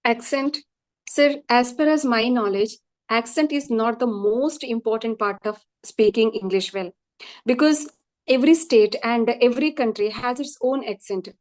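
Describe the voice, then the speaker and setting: A woman's voice: on the high side, moderate pace, poor audio. A woman from southern India with a South Indian accent, a guest in an interview who repeats her points earnestly for emphasis. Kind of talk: casual interview chat.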